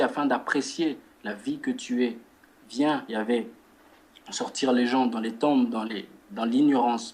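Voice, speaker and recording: Young man, measured speech. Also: mid-range voice, darkish tone. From Democratic Republic of the Congo. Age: 20s. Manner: measured, repeats words while searching for phrasing. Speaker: guest. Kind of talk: religious interview and spoken prayer.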